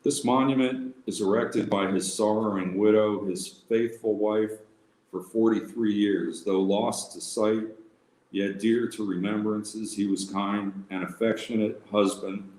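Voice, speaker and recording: A man reading aloud as an informal presenter for a local historical society, in a somewhat halting manner. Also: on the low side, a little mellow. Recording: roomy echo with faint background noise.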